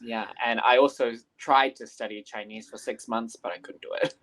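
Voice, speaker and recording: A man's voice: medium pitch, steady tone, normal speed, fairly bright tone, low-quality recording. A young man from New Zealand talks casually as an interview guest. Māori was his first language, and he rambles, circling back with fillers and restarts. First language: Te Reo Māori. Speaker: guest teacher.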